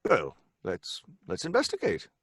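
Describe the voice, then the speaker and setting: Uneasy, animated gentleman. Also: on the high side, a little slow, husky, full character voice. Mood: uneasy, intrigued. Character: uneasy, impressionable gentleman seance guest. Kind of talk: old-fashioned radio drama acting.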